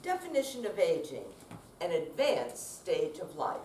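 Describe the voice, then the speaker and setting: A woman's voice: on the low side, huge pitch swings, measured pace, a little mellow. An older woman giving an amateur readers theater performance in a senior center room. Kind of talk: dramatic reading on stage. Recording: distant, echoey room with faint noise.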